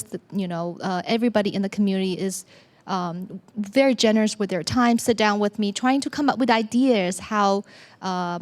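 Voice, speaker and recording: Laughing woman telling a lively story. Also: mid-range voice. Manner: lively, laughs often and acts out quoted lines. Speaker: business owner sharing her story. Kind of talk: personal storytelling to an audience.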